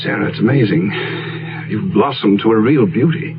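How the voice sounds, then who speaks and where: A man's voice: on the low side, animated, moderate pace, lightly acted. A man playing an earnest, polite young lord and doctor in a gothic radio drama, with formal speech. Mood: warm, polite. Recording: dull radio recording, loud music behind.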